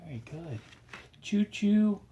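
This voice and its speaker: A man reading a picture book aloud with a small child, encouraging and pausing so the child can finish the phrases. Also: sits high, animated, dark tone, dull audio, slight background noise.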